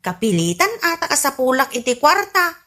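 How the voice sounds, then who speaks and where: A woman's voice: sits high, big pitch swings, normal speed, bright, forceful tone, heavily acted. A woman playing a cheeky, unafraid new housemaid in a comedy radio drama.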